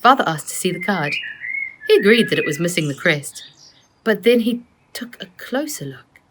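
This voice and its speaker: A woman author reading her children's storybook aloud as an expressive narrator. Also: lowish voice, normal speed. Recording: faint noise and clicks.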